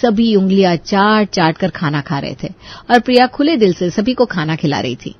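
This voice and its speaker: A woman's voice: mid-range voice, expressive, moderate pace, lo-fi sound. A woman narrating a scripted bedtime story as a storyteller.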